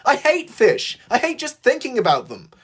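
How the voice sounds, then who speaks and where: A narrator voicing an earnest, outspoken male tank engine with an excitable, emphatic manner. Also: medium pitch, unhurried, slightly rough, pitched above the performer's own voice, exaggerated voice. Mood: exasperated yelling.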